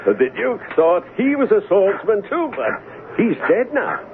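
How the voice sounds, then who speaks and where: A man's voice: high voice, very animated, full character voice. An actor playing a menacing swordsman villain, taunting and drawing out his words in a radio drama. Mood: gloating, menacing. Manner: taunting, drawn-out delivery. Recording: tinny, noisy old radio broadcast.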